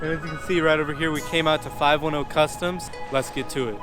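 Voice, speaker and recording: Young male car vlogger, fairly high voice, casual and enthusiastic, music under the speech. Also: fairly even intonation, fast talker. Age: young adult. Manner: enthusiastic, slangy. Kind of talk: casual vlog chat.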